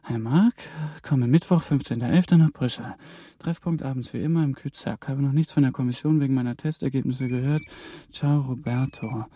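A man's voice: medium pitch, a little fast, hardly any pauses, dark tone, no room sound, lightly acted. A young actor playing a hunted male intern in a radio play, muttering anxious thoughts to himself. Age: young adult.

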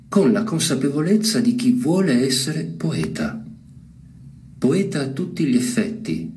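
A man giving informal literary commentary in a reflective, didactic way, with deliberate emphasis. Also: mid-range voice, a little mellow.

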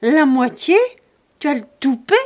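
A raging peasant woman, as a woman reader puts her on, shrieking insults high and loud. Her words tumble out in fast, shrill bursts. It sounds like a spoken storybook. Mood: screaming insults. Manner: shouting abuse, fast and shrill.

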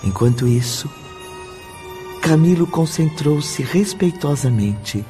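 Mellow, solemn Brazilian male narrator. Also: a little high, animated, slow pace. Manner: solemn, literary. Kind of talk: scripted radio drama narration. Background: soft music.

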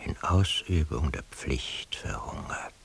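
An actor playing an obsessive, bitterly servile eavesdropper in a radio play monologue, husky and intense. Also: male voice, extremely low pitch, heavily acted. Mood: bleak, resigned. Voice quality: husky, gravelly, breathy half-whisper. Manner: intense, deliberate, theatrical.

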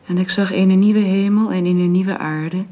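An actress playing a sober, composed Bible reader in a radio drama, with a measured, formal delivery. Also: female voice, mid-range voice, fairly even intonation, narrow, tinny audio, close to an everyday voice. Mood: solemn, composed.

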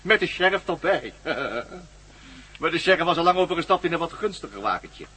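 A man's voice: on the high side, big pitch swings, brisk, bright, forceful tone, husky, full character voice. An actor playing a commanding villain captain in a radio drama, mocking and sardonic, gloating over his plans to rule the world. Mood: smug gloating. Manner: mocking, sardonic, barks orders.

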